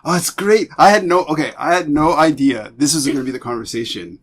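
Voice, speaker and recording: Effusive, excitable male podcast host. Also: a little high, a little fast, noisy background. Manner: effusive, excitable, stammering, rambling. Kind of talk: casual interview chat.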